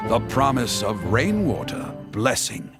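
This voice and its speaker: A man's voice: medium pitch, sing-song, unhurried, over loud music. A man playing a theatrical storyteller in a fantasy game, reciting an old legend in a dramatic, declamatory way. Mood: reverent.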